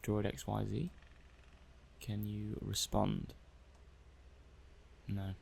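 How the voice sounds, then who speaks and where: A man acting as a methodical robot-testing technician in an ASMR roleplay. His voice is creaky and even in pitch, and he works through things slowly and hesitantly, half muttering to himself in a mild, matter-of-fact way. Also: slight background noise, full character voice. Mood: focused, tentative. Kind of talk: soft-paced ASMR roleplay monologue.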